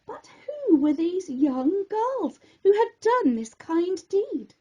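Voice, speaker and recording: A woman narrates a rhyming children's story with theatrical expression. Her voice is high and very melodic, rising and falling widely. She takes her time over each phrase. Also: big pitch swings, slow pace, mellow, highs cut off.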